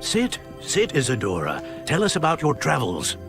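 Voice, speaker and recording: A man's voice: medium pitch, huge pitch swings, normal speed, creaky. A man voicing a courteous, formal older headmaster in a game cutscene. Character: genial older male headmaster. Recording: game cutscene with soft music.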